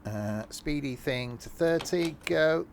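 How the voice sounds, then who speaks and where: A man's voice: a little high, animated, takes it slowly, creaky, noisy background. Chatty man streaming a train sim. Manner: chatty, rambling, self-mocking asides. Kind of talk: casual gameplay commentary.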